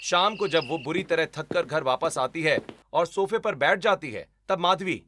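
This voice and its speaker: A man's voice: a little high, animated, normal speed, pushed and bright, a little hiss or hum. A man giving scripted, dramatic narration of a story as its narrator.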